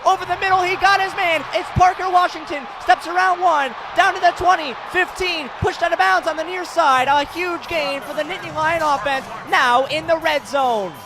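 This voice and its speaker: A young American man with a very high-pitched voice calls live football play-by-play. His delivery is clipped and excitable, projecting loudly as plays develop. Clear stadium noise runs behind him. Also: moderate pace, hardly any pauses, crowd noise. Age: young adult.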